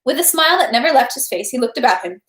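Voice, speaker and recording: Woman, read-aloud host, higher-pitched, expressive narration, animated, roomy echo. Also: rapid-fire. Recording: echoey room.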